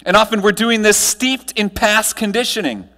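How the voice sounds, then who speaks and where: Male American keynote speaker, thirties, slightly raised pitch, projecting with emphasis and pauses in an echoing ballroom. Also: pushed and bright. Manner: projected and emphatic, with deliberate pauses and rhetorical questions. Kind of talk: motivational conference talk. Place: large hotel ballroom stage. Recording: long hall reverb from ballroom PA.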